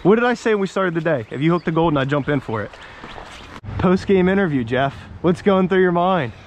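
A man's voice: on the high side, animated, fairly quick, slight background noise. A young man fishing outdoors, chatting playfully and teasing his friend with questions. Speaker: fishing vlogger. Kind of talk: casual outdoor chat. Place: outdoors by a creek.

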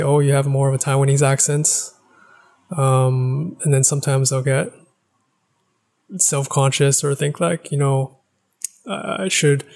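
Relaxed young man musing, slightly gravelly. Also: medium pitch. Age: young adult. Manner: thoughtful, rambling with restarts and fillers. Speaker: language-learning vlogger. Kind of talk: casual reflective monologue. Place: small living room with a couch. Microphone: boom-arm podcast mic.

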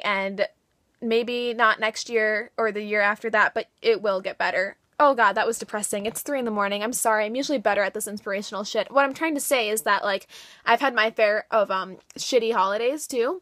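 A woman's voice: on the high side, fairly bright tone, no echo. A twenty-year-old woman vlogger chatting casually to the camera in a rambling, self-deprecating way. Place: small bedroom in a basement. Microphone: camera at arm's length.

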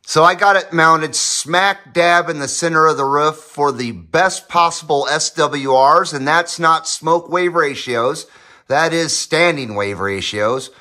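A man's voice: on the high side, unhurried, sharp tone. An American man narrating a casual hobby project vlog in a folksy, informal way. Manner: folksy, lightly swearing, informal. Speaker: host of a hobby radio channel. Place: home garage, indoors.

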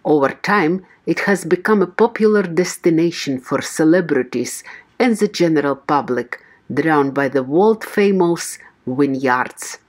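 A woman reading a scripted tour narration in a smooth, even voice-over, with loud music behind her. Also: sits low, measured pace.

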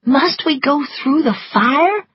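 A woman's voice: high-pitched, lively intonation, slow pace, no room sound, muffled recording, pitched above the performer's own voice. A woman voicing a headstrong, anxious young woman in a story, urgent, bossy and emotional.

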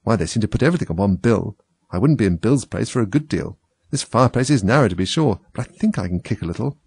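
Man playing a storybook young girl, earnest, wondering, plaintive, chatty, lively intonation. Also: on the low side, fast, creaky, slightly rough, no room sound, full character voice. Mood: musing. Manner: musing, earnest. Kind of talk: storybook character voice.

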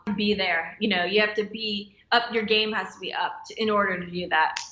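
A woman's voice: medium pitch, fairly even intonation, brisk, sharp tone, reverberant, dull audio, slight background noise. A woman, an American stunt performer, chatting casually as a podcast guest, loose and easygoing with many "yeah"s and "like"s. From United States. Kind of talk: casual interview chat.

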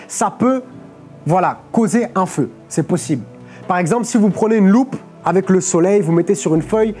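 Young guy preaching on a studio stage, mellow warm voice, keeps checking in with the audience, faint music underneath. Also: high-pitched. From Portugal. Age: young adult. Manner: explanatory, peppers phrases with "ok?" and "amen". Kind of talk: sermon on a live stream. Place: studio stage with a clear lectern.

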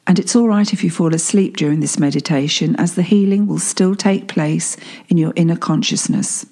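A woman guiding a meditation in a calm, soothing way, leaving long pauses between phrases. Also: fairly low pitch, husky. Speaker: guided meditation instructor and life coach. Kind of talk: guided meditation narration. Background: soft healing-frequency sound.

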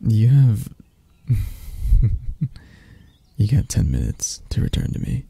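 A man voicing a possessive, teasing spider-creature lover in an intimate ASMR roleplay, with a husky, breathy, gravelly murmur. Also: lowish voice, sing-song, no echo, full character voice. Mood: gentle, predatory. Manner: slow, teasing murmur with soft chuckles and near-whispers.

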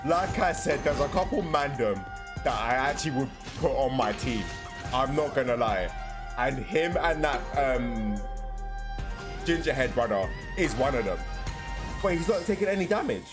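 A young man giving casual, excitable, slangy and sweary reaction commentary. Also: mid-range voice, normal speed, music in the background. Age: young adult. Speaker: reaction video host. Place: indoors, with trailer audio behind.

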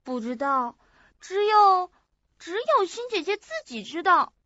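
A woman acts out a sweet, naive young woman in a radio play. Her voice is extremely high and unhurried, feeling its way hesitantly through each phrase. The sound is dry but a little muffled and lo-fi. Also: big pitch swings, sharp tone, no room sound, exaggerated voice. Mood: innocent, earnest. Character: childlike, sweet, forgetful young woman just awakened. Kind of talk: audio drama acting. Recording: muffled lo-fi audio.

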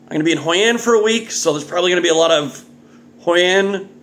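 A man's voice: high voice, quick pace. A man in his thirties hosting a casual, off-the-cuff video review in a jokey, exclamatory way, recorded in a home kitchen at arm's length. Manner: animated, exclamatory, jokey delivery with muttered asides. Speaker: beer reviewer and vlog host. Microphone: phone or webcam at arm's length.

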